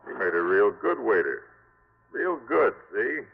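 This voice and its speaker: An actor playing a menacing, smug gangster in the tough style of 1950s hardboiled radio drama. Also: male voice, on the low side, big pitch swings, a little slow, gravelly, slightly rough, exaggerated voice. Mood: gloating menace. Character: menacing gangster ex-convict posing as a gentleman diner. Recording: muffled, very noisy old radio recording.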